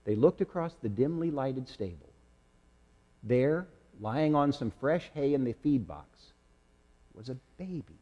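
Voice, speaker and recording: A man reading a children's story aloud in a slow, dramatic storytelling style. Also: mid-range voice, expressive, lo-fi sound. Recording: echoey hall with long reverb.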